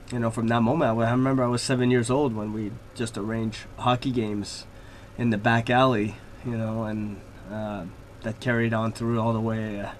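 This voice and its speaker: Man, relaxed and rambling. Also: fairly low pitch, a little hiss or hum. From Laval, Quebec, Canada. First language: French. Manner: relaxed, rambling with many fillers. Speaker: hockey player guest. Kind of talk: radio interview.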